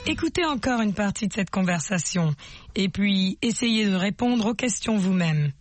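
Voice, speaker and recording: A woman's voice: mid-range voice, lively intonation, loud music behind the voice. Woman narrating a language course. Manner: slow, clear, explaining. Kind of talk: scripted instructional narration.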